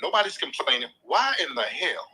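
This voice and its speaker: Man, live show host, combative lecturing, swearing, bright husky voice. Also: a little high, big pitch swings, brisk. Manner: combative, lecturing, repeats points; swears freely, talks over the caller. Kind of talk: heated live call-in argument.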